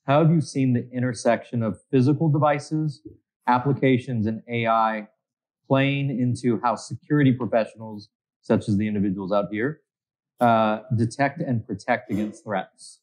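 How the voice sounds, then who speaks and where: A man hosting a panel session, plain-spoken and relaxed, introducing guests and asking long prepared questions. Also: medium pitch, moderate pace, poor audio. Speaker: host of the session.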